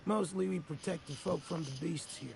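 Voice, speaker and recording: A man's voice: fairly high pitch, moderate pace, creaky, slight background noise. An actor playing a grizzled older male ex-mercenary in a game, talking in a folksy, rambling drawl. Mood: weary. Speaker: game character.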